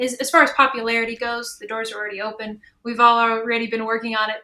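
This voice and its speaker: A woman talks casually as the moderator of a panel discussion. Her voice is on the high side and distinctly bright. She is chatty and reflective, like a creator comparing notes with colleagues. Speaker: panel moderator, audio drama creator and musician.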